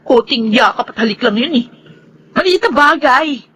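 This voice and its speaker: A Filipino actress playing a defiant, scheming elder sister in an emotional radio drama. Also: female voice, high-pitched, lively intonation, normal speed, fairly bright tone, husky, heavily acted, rough recording. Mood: dismissive. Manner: emotive, argumentative, Tagalog-English code-switching.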